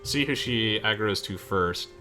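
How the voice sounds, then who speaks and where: A man with a fairly low voice gives casual, offhand gameplay commentary. He talks as host of a let's play, with game music clearly playing behind him. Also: measured pace, bright, forceful tone. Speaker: gaming video host.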